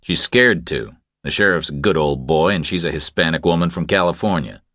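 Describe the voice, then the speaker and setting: A man voicing a calm, tough drifter hero in an audiobook, laconic, steady and stubbornly protective. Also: extremely low pitch, a little fast, no echo, clean studio sound. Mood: insistent, protective.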